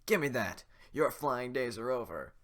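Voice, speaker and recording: A man's voice: mid-range voice, moderate pace, fairly bright tone, voice pushed up, lightly acted. A man voicing a brusque, bossy male head guard who barks orders and prices. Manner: barking orders.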